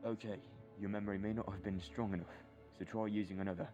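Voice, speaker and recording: A man's voice: fairly low pitch, fairly even intonation, quick pace, mellow, muffled recording, soft background music, close to an everyday voice. A man playing a patient, grave wizard teacher in a fan audio drama. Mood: concerned.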